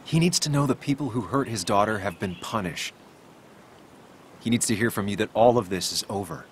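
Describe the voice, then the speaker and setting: A man playing a sombre, remorseful figure in a video game, speaking in a grave, understated way over a faint rumble. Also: fairly quick, lightly acted. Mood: grave, quiet. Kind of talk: dramatic video game acting.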